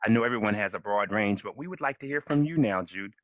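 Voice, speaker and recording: A man's voice: on the low side, animated, fast, fairly bright tone, no echo. A man moderating a virtual panel, friendly and joking as he introduces speakers. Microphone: video call. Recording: dry, dull video-call audio.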